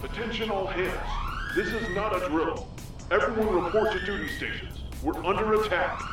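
A man's voice: high voice, moderate pace. A man playing an authoritative starship captain in an audio drama, giving urgent, formal orders over an echoing intercom. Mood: urgent, commanding. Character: commanding male starship captain in battle. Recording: heavy echo under loud music and sirens.

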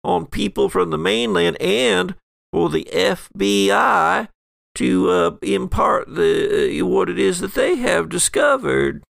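Pinched, nasal bumbling deputy. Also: male voice, high voice, vocal fry, fairly bright tone, dry recording, clean studio sound, voice pushed up, exaggerated voice. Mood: uncomfortable, evasive. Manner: halting, fumbling over words. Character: bumbling, nasal small-town sheriff's deputy, male.

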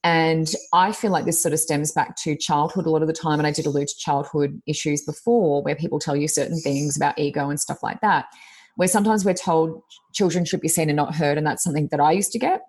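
A woman, co-hosting a casual talk podcast, speaking loudly and expressively as she reflects on her own experience. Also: sits low, fairly quick. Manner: loud, expressive, self-reflective. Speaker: podcast co-host, coach. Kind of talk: casual chat.

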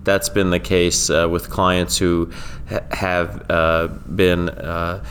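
Low-voiced male podcast host. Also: flat delivery, fairly bright tone, slight background noise. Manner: measured, halting, many fillers. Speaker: podcast host and law professor. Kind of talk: podcast intro and interview questions.

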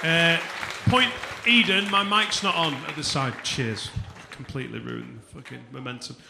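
A man compèring a comedy night, loudly hyping the crowd and introducing acts. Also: a little high, fairly even intonation, moderate pace, creaky, very noisy. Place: comedy club stage. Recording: loud crowd, echoey club, from far off.

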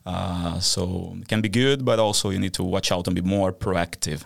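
A man who is not a native Swedish speaker, chatting in a measured, thoughtful way with fillers. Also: low-pitched, fairly even intonation, creaky, no echo, clean studio sound. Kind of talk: casual group chat.

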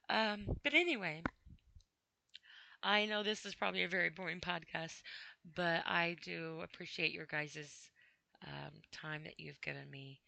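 A tired woman podcasting alone, rambling in a halting, apologetic way with many fillers after crying earlier. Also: lowish voice, gravelly, pushed and bright, no echo. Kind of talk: casual rambling monologue. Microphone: computer mic with headphones on.

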